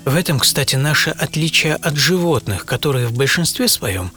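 A witty, self-assured philosopher of forty-two in a radio drama. His fairly high voice swings widely and theatrically, with dry irony, over a music bed. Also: moderate pace. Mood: thoughtful, self-assured. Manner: ironic, theatrical, swinging from musing to excited exclamation. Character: witty, self-assured 42-year-old Scottish philosopher musing aloud. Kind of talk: first-person dramatized monologue, radio play.

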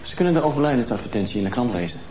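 A man's voice: medium pitch, animated, rapid-fire, background noise, lightly acted. A man acting a defensive, callous younger widower, brusque and indignant in a TV crime drama. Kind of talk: naturalistic TV crime drama dialogue. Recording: echoey room under prominent music.

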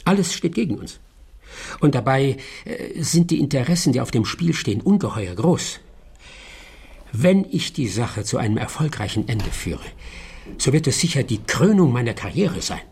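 An actor playing a brilliant, intense detective in a German radio drama, brooding and commanding, with a raspy voice and deliberate, questioning delivery. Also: male voice, medium pitch, big pitch swings, darkish tone, exaggerated voice. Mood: grave, pondering. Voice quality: raspy, gravelly.

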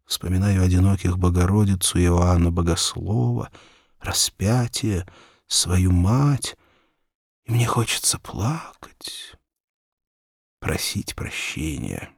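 Male audiobook narrator, lowish and unhurried, acting out a children's tale with feeling, in a very dry studio sound. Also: vocal fry, slightly rough, no echo. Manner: expressive, measured, acted delivery. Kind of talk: dramatic literary narration of a children's story in first person.

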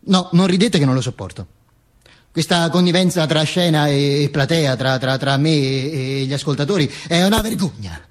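An actor playing a vain dead dictator in a theatrical monologue, pompous and halting, with stammered repetitions. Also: male voice, a little high, close to an everyday voice. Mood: indignant, confiding. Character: vain dead dictator narrating from beyond the grave. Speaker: stage actor performing a monologue.

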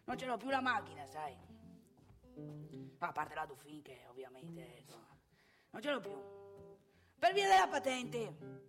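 A woman's voice: fairly low pitch, big pitch swings, a little slow, pauses often, faint music behind. A woman playing a boastful, nostalgic old man in a theatrical comic monologue, rambling and emphatic. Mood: nostalgic, proud. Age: older. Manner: rambling, emphatic, addresses listener with rhetorical questions.

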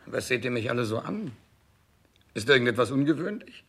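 A man's voice: mid-range voice, very animated, fairly quick, husky. An actor playing an imperious, petulant, ailing king in denial, pompous and capricious, in a 1960s radio play. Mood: dismissive, petulant. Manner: capricious, pompous, swinging from shouts to mutters.

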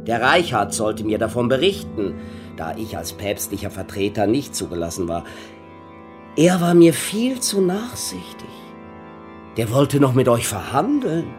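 Haughty, fanatical papal envoy, widely swinging pitch. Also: male voice, sing-song, unhurried, over music. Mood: cold, self-righteous. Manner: haughty, vehement, erupting into shouts. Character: fanatical, arrogant papal nuncio, scheming and ruthless. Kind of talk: German radio play acting.

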